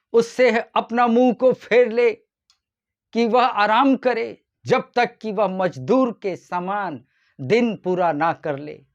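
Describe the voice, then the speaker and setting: A man preaching a short devotional sermon as a pastor, addressing his listeners in a deliberate manner. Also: high voice. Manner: deliberate, repeatedly addressing listeners as dear ones.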